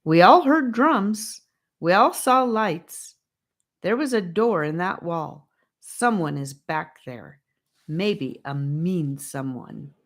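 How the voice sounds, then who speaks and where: A woman's voice: lowish voice, animated, slow pace. A woman voicing a plucky, blunt little boy in an audiobook, speaking with feisty emphasis. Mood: insistent.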